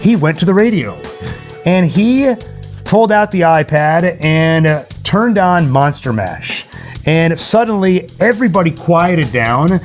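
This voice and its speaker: A man with a high-pitched voice hosts a livestream in a casual, chatty style. He rambles in a joking way. Faint music plays behind him as he talks. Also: lively intonation, measured pace. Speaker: livestream host. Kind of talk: casual livestream chat and sign-off. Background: Halloween novelty songs.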